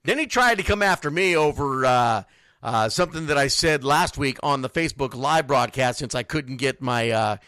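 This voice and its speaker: A man's voice: mid-range voice, expressive, normal speed, a bit bright, smooth, dry recording. A man hosting a casual radio show, talking informally and animatedly. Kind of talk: casual live sports radio talk with read sponsor spots.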